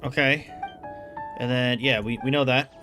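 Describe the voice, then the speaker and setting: A woman's voice: rumbling low, measured pace, over music. A woman streaming a video game, chatting casually and reacting expressively to the story. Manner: expressive, reacting loudly with gasps and jokes. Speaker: gaming streamer.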